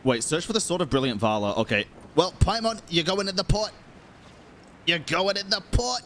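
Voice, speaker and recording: A young man giving casual, excitable, slangy commentary while streaming a game. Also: high-pitched, normal speed, slight background noise. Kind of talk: casual live gaming commentary, reading lines aloud.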